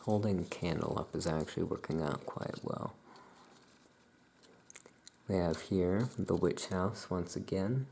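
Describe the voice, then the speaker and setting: A low-pitched, soft ASMR voice with a heavy creak. The delivery is calm and drawn out, with long pauses, as a shopkeeper showing wares. Clear background noise sits behind the voice. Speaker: ASMR host playing a Salem witch shopkeeper. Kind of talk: soft-spoken ASMR roleplay.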